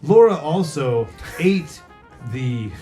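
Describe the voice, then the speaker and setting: A man's voice: a little high, expressive, extremely slow, mellow, soft background music. A male podcast host reading reviews aloud with mock-earnest drama in casual comedic chat.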